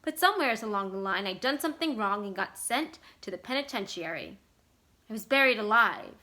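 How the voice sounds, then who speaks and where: A calm, brooding convict on the run as a woman reader acts him out for a short story. He talks in folksy rural phrases, slowly turning things over, with a bright, clear-cut edge to the voice. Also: medium pitch. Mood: dreamy brooding. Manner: folksy rural speech, musing and deliberate.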